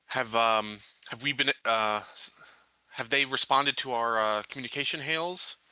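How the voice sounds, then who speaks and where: A man playing a tabletop roleplaying game, talking casually and reasoning through plans in a deliberate way. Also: medium pitch, bright, forceful tone, smooth, no room sound. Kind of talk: casual in-game planning discussion.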